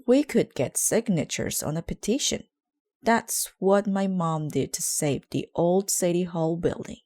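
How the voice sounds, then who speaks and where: A woman's voice: on the low side, a little slow, warm tone, voice pushed up, close to an everyday voice. A woman reading a children's storybook as a determined, spirited young schoolgirl, speaking in an earnest, rallying way. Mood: hopeful. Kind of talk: children's storybook read aloud.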